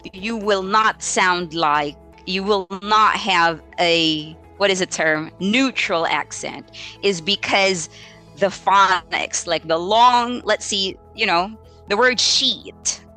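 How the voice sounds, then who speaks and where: A Filipino-accented woman with a bright, forward voice teaches informally on a livestream. She takes her time, often halting to think aloud and hunt for the right word, then offers spoken examples. Soft music plays faintly underneath. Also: mid-range voice. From Philippines. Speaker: English teacher hosting a live Q&A. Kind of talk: informal live teaching advice.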